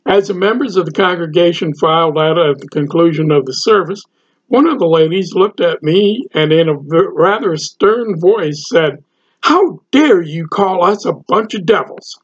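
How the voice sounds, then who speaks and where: An older man, a pastor, preaching a sermon slowly and deliberately to a webcam from his home study. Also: high-pitched, lively intonation, husky. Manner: slow, deliberate preaching to camera. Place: home study with bookshelves, indoors. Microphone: webcam, seated at computer. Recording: dull lo-fi webcam audio.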